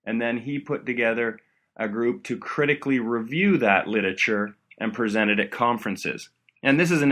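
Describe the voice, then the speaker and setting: A man's voice: mid-range voice, sharp tone. Upbeat man hosting a medical podcast. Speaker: podcast host. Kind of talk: medical education podcast interview.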